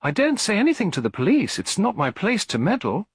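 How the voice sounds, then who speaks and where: Guy doing a nervous young maid, shaky and hesitant, voice swooping about, bit husky, storybook style. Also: high-pitched, huge pitch swings, fast talker, no echo, studio quality, voice pushed up, full character voice. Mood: nervous confiding. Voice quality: tremulous. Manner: hesitant, deferential. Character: timid, anxious young housemaid, earnest and loyal.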